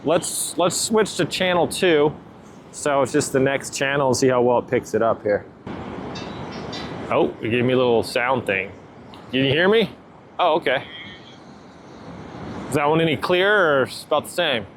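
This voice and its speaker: A man's voice: medium pitch, moderate pace, long pauses, a little hiss or hum. A man giving a casual, unscripted product review outdoors, talking in a loose, informal way and often checking in with questions. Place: outdoors near water, walking.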